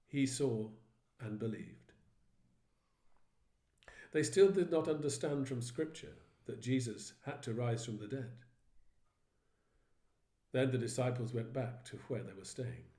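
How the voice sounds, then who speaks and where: A man's voice: medium pitch, unhurried, pauses often, dark tone. Man narrating scripture, echoey room. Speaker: vicar. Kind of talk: dramatised Bible reading narration. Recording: roomy echo.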